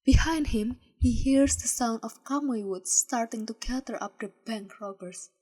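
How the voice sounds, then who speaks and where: A woman reading fan fiction aloud as a podfic, with calm, measured storytelling. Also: fairly high pitch, low-quality recording. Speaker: podfic reader.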